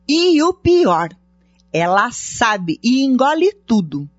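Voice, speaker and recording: Uma atriz brasileira de radionovela interpretando uma mulher invejosa, fofoqueira e de língua afiada, com fala cheia de desdém. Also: female voice, on the high side, big pitch swings, slow, dry recording, exaggerated voice. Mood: gossipy. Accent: Brazilian. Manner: scornful, gossipy delivery. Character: envious, gossiping niece, sharp-tongued and spiteful. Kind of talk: radio soap acting. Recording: dull, lo-fi dry radio drama recording.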